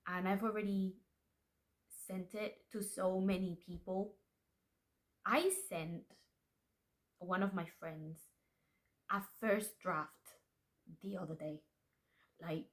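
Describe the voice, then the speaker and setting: A young woman whose first language is Spanish, talking casually and candidly to camera as an aspiring author, chatty and self-deprecating. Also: lowish voice. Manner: chatty, self-deprecating, restarts and laughs often. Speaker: aspiring author and channel host. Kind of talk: casual confessional vlog.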